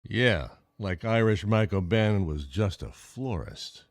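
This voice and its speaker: An actor playing a tough, stern police lieutenant who talks bluntly and demandingly in a detective radio play. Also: male voice, lowish voice, animated, measured pace, no echo. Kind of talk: 1940s radio detective drama acting.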